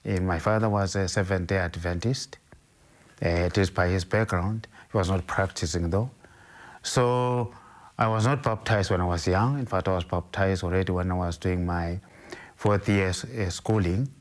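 A middle-aged man from Zimbabwe whose first language is Ndebele, a bishop answering interview questions in a halting way with many restarts. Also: low-pitched, steady tone.

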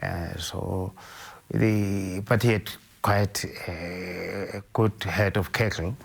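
A middle-aged man from Zimbabwe whose first language is Ndebele, a bishop answering interview questions in a halting way with many restarts. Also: low voice, steady tone, vocal fry.

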